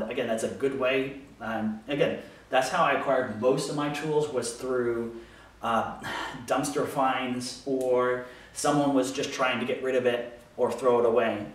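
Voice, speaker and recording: American man in a very echoey room. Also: medium pitch. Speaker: woodworker hosting a shop Q&A video. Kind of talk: casual explanatory talk to camera. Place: small home workshop, indoors. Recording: very echoey room, distant microphone.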